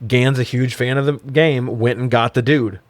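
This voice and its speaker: A man's voice: medium pitch, fairly even intonation, moderate pace, dry recording. A man co-hosting a film review podcast in casual, profane chat, recapping and commenting with wry informality. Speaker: podcast co-host.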